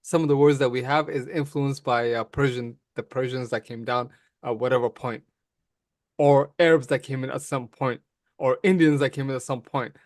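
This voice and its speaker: Calm man, native Somali speaker. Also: mid-range voice, normal speed, no room sound, clean studio sound. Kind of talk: casual podcast chat.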